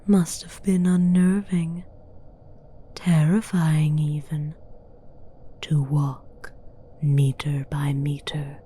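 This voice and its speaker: A woman voicing an imperious, taunting demon queen in a slow, condescending, seductively menacing whisper for a fantasy ASMR roleplay. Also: sits low, little pitch movement, warm tone, full character voice. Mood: mocking, amused. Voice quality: breathy, mostly whispered.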